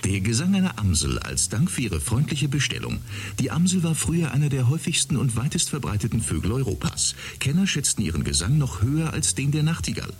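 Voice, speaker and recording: A man's voice: medium pitch, very animated, brisk, natural voice. An actor playing a polite male service machine that speaks with a courteous, announcer-style delivery. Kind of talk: radio drama acting. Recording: music under the voice.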